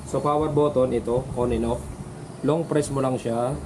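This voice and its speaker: A man's voice: medium pitch, steady tone, normal speed. A Filipino man, a shop owner, chatting casually and politely as he explains a product step by step. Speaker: motorcycle intercom shop owner. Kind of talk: casual chat and product demonstration. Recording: noisy on-location camera audio.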